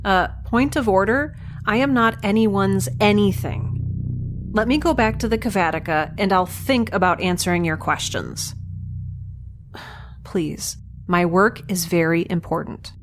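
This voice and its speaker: A woman's voice: mid-range voice, unhurried, over music, natural voice. A woman playing a captive young scientist in a science fiction audio drama, wry, plain-spoken and sarcastic as she bargains. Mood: defiant, sarcastic.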